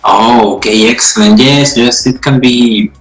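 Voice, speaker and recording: A man hosting an interview, asking questions eagerly and saying yes and okay often, in halting English. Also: mid-range voice, steady tone. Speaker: interview host. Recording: echoey room with faint music.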